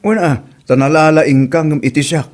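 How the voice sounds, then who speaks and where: A man's voice: fairly high pitch, moderate pace, a little mellow, close to an everyday voice. A man playing an exasperated grown son in a family comedy drama, questioning others in an Ilocano accent. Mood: resigned. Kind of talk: radio-style comedy drama acting.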